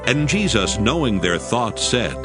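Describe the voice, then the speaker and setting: A man with a mid-pitched, very expressive voice narrates scripture. His storytelling is formal and solemn, with clear music playing behind him. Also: measured pace.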